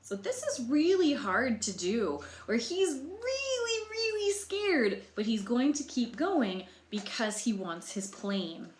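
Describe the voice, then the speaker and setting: A woman's voice: high-pitched, very animated, takes it slowly, few pauses. A woman reading a picture book aloud to children, expressively, with explanatory asides and questions for the listeners. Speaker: library storytime reader. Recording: roomy, echoey sound.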